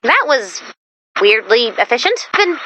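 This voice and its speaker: Video game robot sidekick, female: very high, bright, nerdy and eager, quirky delivery. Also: very animated, a little slow, highs cut off, a little hiss or hum, exaggerated voice. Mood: perky, businesslike. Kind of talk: video game character voice lines.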